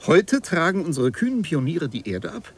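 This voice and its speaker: An actor playing a polite, eager bookseller in a German radio play, speaking formally and with some hesitancy. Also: male voice, on the high side, big pitch swings, moderate pace, husky. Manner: hesitant, formal, mirrors archaic address. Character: polite, eager Parisian bookseller meeting a time traveller. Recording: 1970s radio play with audible noise.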